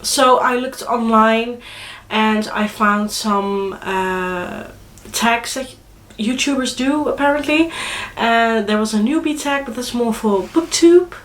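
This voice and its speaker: A woman's voice: a little high, slow, reverberant. A young woman from the Netherlands with a Dutch accent, speaking with a cold in a chatty, hesitant vlog to camera. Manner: chatty, hesitant, many fillers and restarts. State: congested with a cold. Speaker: vlogger. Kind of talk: casual chat to camera.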